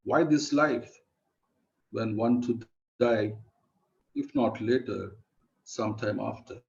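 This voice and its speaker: A man reciting poetry solemnly and emphatically in short, halting phrases, over online call audio. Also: lowish voice, creaky, highs cut off. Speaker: poet reciting at an online event.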